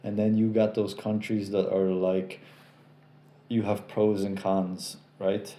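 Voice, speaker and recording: A man's voice: lowish voice, slow pace, reverberant. A young man with an American accent hosting a casual live chat and rambling through viewer questions. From Poland. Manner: relaxed, rambling, repeats himself. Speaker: live stream host.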